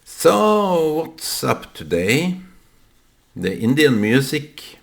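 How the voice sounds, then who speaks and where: A man with a Norwegian accent, a native Norwegian speaker, talking to the camera in a reflective monologue, halting and full of fillers and restarts. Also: on the high side, very animated, vocal fry. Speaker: vlogger.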